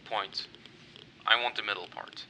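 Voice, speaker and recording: A man voicing a sardonic, bored masked soldier in a comic dub, with a dry deadpan manner. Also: on the low side, measured pace, low-quality recording. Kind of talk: amateur comic dub voice acting. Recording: track with clear background noise.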